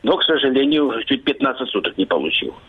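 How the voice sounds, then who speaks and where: A man's voice: medium pitch, very animated, fast talker, vocal fry, husky. Male caller on a tinny phone line. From Crimea. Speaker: radio call-in listener. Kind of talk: spontaneous phone-in comment.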